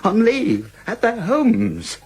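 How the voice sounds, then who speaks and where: An actor in a radio drama playing a defiant, foul-mouthed Nazi brownshirt commander, sardonic and blunt in manner. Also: male voice, extremely high pitch, wildly swooping pitch, slow, breathy edge, exaggerated voice. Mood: incredulous, pleading.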